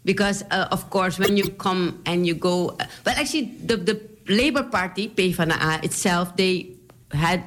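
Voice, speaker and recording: A woman's voice: fairly low pitch, normal speed, a bit bright, low-quality recording. Surinamese-accented woman, chatty and laughing. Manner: chatty, laughs often, emphatic. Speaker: politician guest. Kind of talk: interview storytelling.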